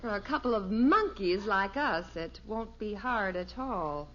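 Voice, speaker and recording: A woman's voice: medium pitch, expressive, unhurried, natural voice. An actress playing a weary, wry middle-aged mother in a 1950s radio sitcom. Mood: dry. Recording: muffled, hissy old radio recording.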